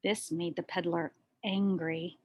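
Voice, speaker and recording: A woman reading a children's story aloud expressively, with deliberate pauses and dramatic emphasis. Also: fairly low pitch, little pitch movement, background noise. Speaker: storybook reader.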